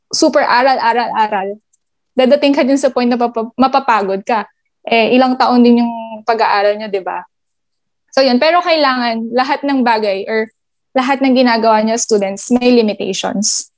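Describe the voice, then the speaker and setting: A Filipino woman answering interview questions on a video call, switching languages and pausing on fillers. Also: on the high side. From Philippines. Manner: code-switching, hesitant with fillers. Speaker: guest, gaming industry supervisor.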